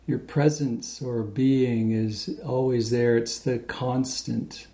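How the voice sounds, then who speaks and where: A man leading a guided meditation in a gentle, unhurried way with many pauses. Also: medium pitch, fairly even intonation. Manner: slow, pausing, gentle delivery.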